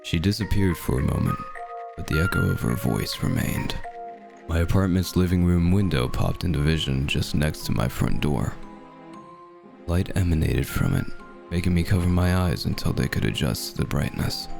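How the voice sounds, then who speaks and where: A man narrating a scripted horror story in the first person, with a measured, ominous, dramatic delivery. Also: low voice, lively intonation, gravelly. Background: dark background music.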